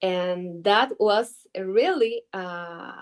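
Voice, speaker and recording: Interview guest: woman in her twenties, Ecuadorian Spanish accent, non-native English, slow and halting. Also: mid-range voice, clean tone. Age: 20s. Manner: halting, searching for English words with many fillers. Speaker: guest trader. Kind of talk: interview answers over video call.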